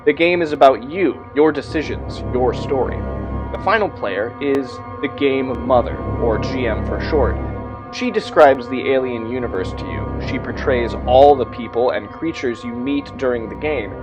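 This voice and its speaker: Guy running a tabletop rpg, reads the game text like a storyteller, mid pitch, music playing behind him. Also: moderate pace. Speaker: game master presenting a tabletop roleplaying game. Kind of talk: reading aloud scripted game text with commentary.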